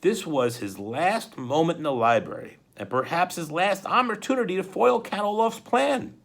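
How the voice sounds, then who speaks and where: A man reading a children's book aloud in a dramatic, expressive way, occasionally stumbling over words. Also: sits high, normal speed, vocal fry. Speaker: storybook reader, school principal.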